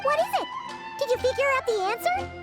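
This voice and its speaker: Squeaky, childlike little flying companion. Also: female voice, sing-song, fairly quick, over loud music. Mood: curious, excited. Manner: exclaiming, blunt, impatient. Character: tiny excitable female flying companion, childlike, sassy. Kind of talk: animated game dialogue.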